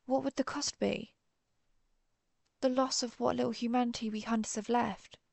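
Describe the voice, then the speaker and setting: A woman playing an earnest, conflicted vampire hunter who is herself a vampire, speaking formally with hesitant pauses in a dramatic audio roleplay. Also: a little high, gravelly, no room sound. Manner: formal, old-fashioned wording, hesitant pauses. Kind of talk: dramatic audio roleplay, one-sided dialogue.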